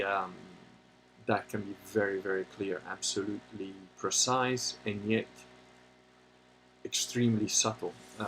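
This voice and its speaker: A man hosting a livestream, talking casually and answering chat questions in a rambling, halting way with many pauses, and sometimes turning blunt and opinionated. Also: fairly low pitch, fairly bright tone, a little hiss or hum, rough recording. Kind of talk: casual unscripted monologue.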